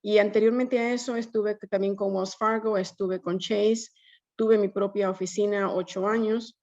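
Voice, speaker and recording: Guatemalan woman in her fifties, loan officer guest on a webinar call; gravelly, muffled line. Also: medium pitch, fairly even intonation, moderate pace, darkish tone. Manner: conversational, mixes English fillers into Spanish. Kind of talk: webinar self-introduction. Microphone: video call. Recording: dull lo-fi video call audio.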